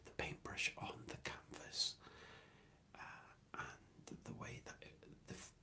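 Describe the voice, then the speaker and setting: A man whispering an ASMR question-and-answer ramble in a soft, hesitant and thoughtful way. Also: slow.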